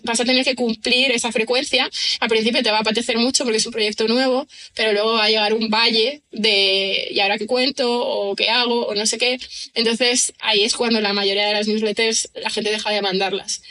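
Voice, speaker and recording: Bright-voiced woman musing aloud. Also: a little high, rough recording. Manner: thoughtful, rambling, frequent tag questions and fillers. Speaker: guest writer and screenwriter. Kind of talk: conversational podcast interview.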